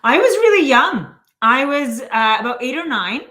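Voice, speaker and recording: Bright, animated Canadian-raised woman. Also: high-pitched, normal speed. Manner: animated, laughs often, many fillers. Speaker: guest, financial planner and author. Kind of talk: podcast interview answers.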